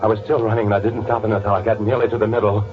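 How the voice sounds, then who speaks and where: A man acting as a panicky, guilty narrator in old-time radio drama, nervous and halting under pressure. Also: on the low side, little pitch movement, close to an everyday voice. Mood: frantic. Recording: phone-like old radio recording with loud music.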